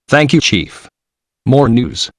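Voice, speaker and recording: A man's voice: medium pitch, lively intonation, slow pace, creaky, dry recording, clean studio sound. A man playing a morning news anchor, reading scripted headlines in a businesslike way.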